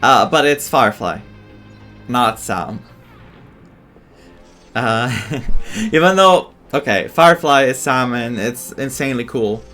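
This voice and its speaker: A man's voice: mid-range voice, expressive, slow pace, pauses often, fairly bright tone, soft background music. A man giving casual, rambling, reactive commentary as he streams a game playthrough. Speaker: gaming streamer.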